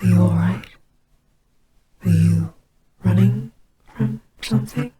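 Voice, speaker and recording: Noisy recording, woman acting a soft breathy death goddess, real slow and soothing, gentle audio roleplay. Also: lowish voice, fairly even intonation. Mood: gentle, concerned. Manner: soft, breathy, hesitant, reassuring. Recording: clearly noisy amateur home recording. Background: ambience sound effects.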